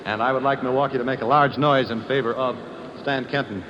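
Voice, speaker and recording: A man's voice: medium pitch, steady tone, fairly quick, highs cut off. A man working as a radio announcer, speaking in a formal 1950s broadcast style from a ballroom stage. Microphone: stage broadcast microphone. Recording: very noisy live radio recording, loud crowd. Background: loud crowd.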